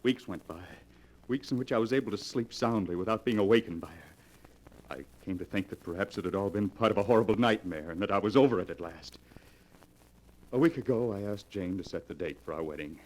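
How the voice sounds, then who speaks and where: An actor playing a haunted, fearful young bridegroom confessing intensely, in 1940s radio melodrama style. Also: male voice, on the low side, a little fast, close to an everyday voice, low-quality recording. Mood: dread. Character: haunted, fearful young bridegroom recounting his torment to a doctor.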